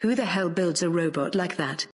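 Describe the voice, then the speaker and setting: A woman's voice: on the low side, moderate pace, lightly acted. A woman playing a wry, weary officer with a dry, complaining manner in an audio drama. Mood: annoyed.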